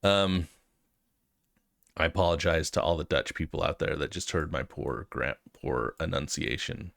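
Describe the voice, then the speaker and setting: A man's voice: very deep voice, normal speed, vocal fry, a bit bright. An American man with a cold, explaining things casually and informally as the host of a tutorial video, hesitating as he thinks aloud. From United States. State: has a cold. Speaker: host of a programming walkthrough video.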